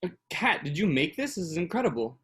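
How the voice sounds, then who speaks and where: A man acting a gentle, dry-humoured young boyfriend in a staged play reading, understated and conversational. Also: high-pitched, sing-song, quick pace. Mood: touched.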